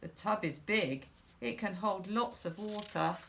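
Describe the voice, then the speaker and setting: Middle-aged Englishwoman, creaky voice, unhurried and expressive children's storybook reader. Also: mid-range voice, slight background noise. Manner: slow, deliberate, simple phrasing for young children. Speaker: school storyteller.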